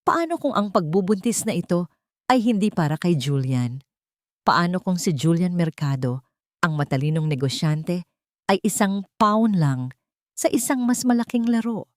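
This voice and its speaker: A woman reading a scripted romantic drama as a storyteller, with a measured, dramatic delivery. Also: lowish voice, expressive, no room sound, studio quality. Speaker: story narrator.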